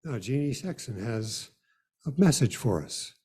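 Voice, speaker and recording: A man leading a worship service in an informal, unhurried, gently guiding way. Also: medium pitch, big pitch swings, over loud music. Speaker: worship leader.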